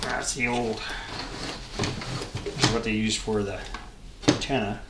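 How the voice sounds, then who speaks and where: A man in his seventies giving a casual, unscripted hobby show-and-tell, halting and rambling as he thinks aloud. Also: fairly low pitch, steady tone. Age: 70s. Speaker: hobbyist vlogger showing vintage electronics. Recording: echoey room with clear background noise and clicks.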